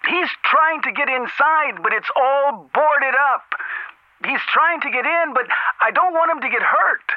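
A man's voice: very high voice, lively intonation, measured pace, no room sound, voice pushed up. Man doing an anxious older neighbor woman. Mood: worried. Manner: anxious, pleading. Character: worried older woman, an old family neighbor. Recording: muffled telephone line sound.

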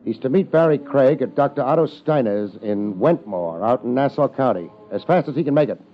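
An actor playing a tough, unflappable American private investigator with a terse, hard-boiled manner in a 1950s radio drama, on a muffled, noisy old recording. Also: male voice, medium pitch, lively intonation, brisk, over music, close to an everyday voice. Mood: urgent. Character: tough, unflappable male private investigator narrating his case.

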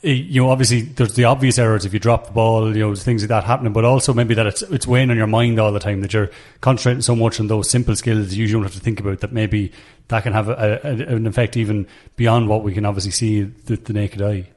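A man hosting a sports podcast, asking brisk, chatty questions. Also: lowish voice, steady tone, darkish tone. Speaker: podcast host.

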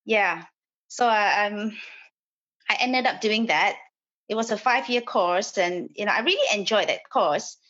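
A woman's voice: medium pitch, measured pace, fairly bright tone, dull audio. A woman from Malaysia, a property developer, speaking as a podcast guest, hesitant with many fillers and restarts.

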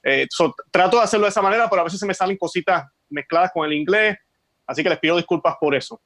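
A man's voice: high voice, fast talker, fairly bright tone, no echo, studio quality. A Puerto Rican man in his forties, with a Puerto Rican accent, talking earnestly as a guest and telling his story with many fillers. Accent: Puerto Rican Spanish. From Puerto Rico. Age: 40s. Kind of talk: casual conversation.

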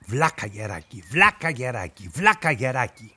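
An actor playing an earnest, awkward police officer in a radio drama, speaking formally and hesitantly. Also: male voice, medium pitch, very animated, bright, forceful tone, breathy edge, full character voice. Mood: self-reproachful.

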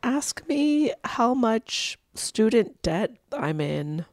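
A woman in her thirties co-hosting a podcast in casual chat, hoarse, with a dry, wry delivery. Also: on the high side, big pitch swings, extremely slow, no echo. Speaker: podcast co-host.

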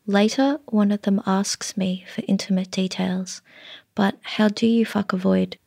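A woman reading her own literary story in a measured way, often dropping to a breathy near-whisper. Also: medium pitch, level tone, a little mellow, dry recording, clean studio sound.

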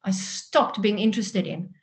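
A woman's voice: mid-range voice, steady tone, moderate pace, a little hiss or hum. A woman in her forties with a South African Afrikaans accent, a native Afrikaans speaker, telling her own story expressively as an interview guest. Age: 40s. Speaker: guest author.

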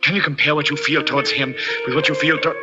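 An actor playing a passionate, domineering pianist in a 1940s radio drama, with an intense, theatrical and insistent delivery. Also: male voice, a little high, level tone, fast, natural voice. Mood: brooding, intense. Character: passionate, domineering male concert pianist, obsessive lover. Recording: dull 1940s radio recording, loud music. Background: dramatic piano music.

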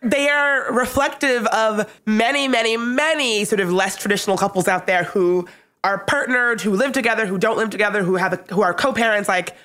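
A woman co-hosting a casual podcast while she is under the weather, so she sounds sniffly and raspy. She talks in an animated, opinionated, rambling way. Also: mid-range voice, moderate pace. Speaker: podcast co-host.

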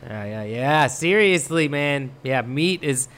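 A young man who grew up in Thailand, talking as a guest in a casual chat, rambling and relaxed with many fillers. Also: a little high, slow. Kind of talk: casual podcast conversation.

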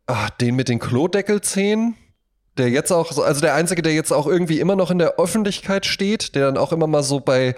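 A man's voice: on the high side, lively intonation, brisk. A man co-hosting a podcast, talking informally and conversationally and often seeking agreement. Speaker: podcast co-host. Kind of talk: casual podcast chat.

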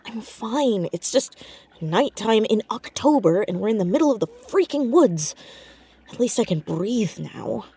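A very husky, breathy teenage girl in a naturalistic audio drama. She is stubborn and sardonic with a nervous edge, and her pitch moves around a lot. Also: lively intonation, a little slow, dark tone, full character voice. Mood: annoyed.

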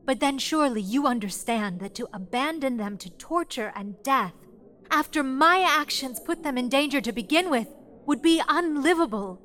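A woman's voice: high voice, animated, moderate pace, fairly bright tone. An actress playing an earnest, determined young woman in a fantasy audio drama, speaking in a precise, formal, insistent way. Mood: grave, resolute.

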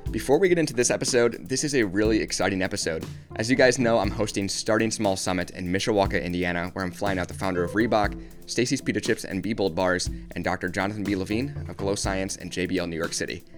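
A man's voice: low-pitched, fast talker, music in the background. A man hosting a business podcast who reads a scripted intro and then asks interview questions in an upbeat way. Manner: upbeat, brief affirmations. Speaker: podcast host.

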